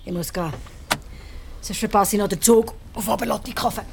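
An older actress with a Swiss accent playing a proud, unsentimental village widow in a radio drama, speaking in terse statements. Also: female voice, medium pitch, fairly even intonation, a little fast, vocal fry, a little mellow, slight background noise, close to an everyday voice. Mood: bitter, resigned. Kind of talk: radio drama acting in Swiss-coloured German.

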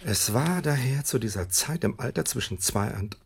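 A pompous, animated gravelly detective. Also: male voice, medium pitch, moderate pace. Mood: appalled. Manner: muttering to himself, precise, pompous. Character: arrogant, sharp-witted male detective snooping alone. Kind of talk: German radio play acting.

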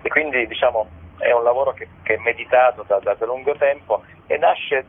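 A man interviewed on radio by phone as a theatre guest, explaining haltingly and repeating words as he goes. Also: mid-range voice, husky. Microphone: telephone. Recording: muffled phone call on radio.

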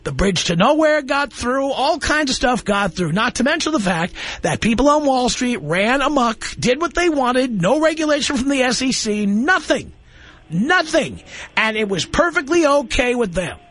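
A man's voice: extremely high pitch, very animated, normal speed, a bit bright. Blunt, exasperated radio host man. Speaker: radio talk show host. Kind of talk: political call-in talk radio.

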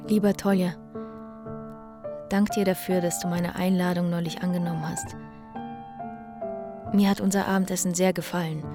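A woman's voice: lowish voice, flat delivery, moderate pace, long pauses, music in the background, lightly acted. An actress in a radio drama playing a hospitable, wistful widowed mother who speaks intimately and candidly. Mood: tender.

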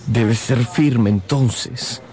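An actor playing an ardent, devoted young painter in love, in a radio drama. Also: male voice, mid-range voice, drawn-out words, background noise. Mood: ardent, insistent. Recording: music behind the voice.

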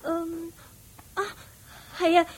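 An innocent teenage servant girl in a radio drama. The voice is high and meek, with a Hong Kong Cantonese accent and a simple, obedient manner. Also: monotone, drawn-out words, exaggerated voice. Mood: innocent, hesitant.

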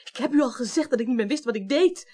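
Radio crime drama: young female suspect, highish voice, lively pitch, flustered, halting, evasive. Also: slightly rough. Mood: panicked, defensive. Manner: halting, repeats words, defensive. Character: evasive, flustered young woman suspect under police questioning. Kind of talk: 1980s Dutch radio drama acting.

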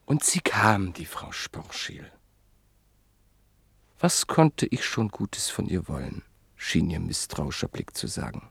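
A man with a low, gravelly, husky voice narrates a classic radio play. He speaks slowly, in a measured and reflective way, and sometimes sinks to a near whisper. His tone moves widely, and the sound is close and dry. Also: very animated, a bit bright, no echo.

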